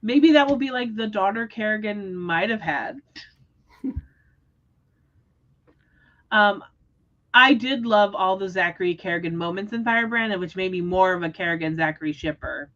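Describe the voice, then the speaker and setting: A woman hosting a casual book discussion livestream, talking in an animated, expressive way. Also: medium pitch, normal speed, long pauses, dull audio. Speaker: host of book readalong livestream.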